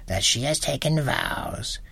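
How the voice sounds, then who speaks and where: A woman voicing a pious, wise old abbess who speaks slowly in a hushed, solemn way, sometimes almost whispering. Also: rumbling low, animated, husky, no echo, full character voice.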